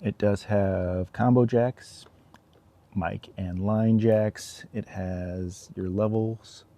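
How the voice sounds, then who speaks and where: Male reviewer, lowish creaky voice, informal unboxing delivery, talks through things hands-on. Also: fairly even intonation, extremely slow, warm tone. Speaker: product reviewer.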